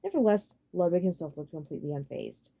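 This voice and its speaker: A woman narrates fiction in a dramatic, expressive style. Her voice is mellow and very animated in pitch, and she moves along quickly. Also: highs cut off. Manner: expressive storytelling. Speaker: audiobook narrator.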